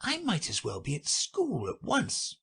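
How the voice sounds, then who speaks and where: Guy putting on a high little girl voice, polite and wondering, kinda husky, voice swoops a lot. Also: huge pitch swings, measured pace, pushed and bright, full character voice. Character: curious polite young girl.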